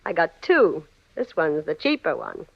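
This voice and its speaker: A woman's voice: low-pitched, wildly swooping pitch, unhurried, gravelly, husky, heavily acted. An actress playing a slick, nervy young female fur thief in 1940s radio drama, on a muffled, noisy recording. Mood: pleased, coy.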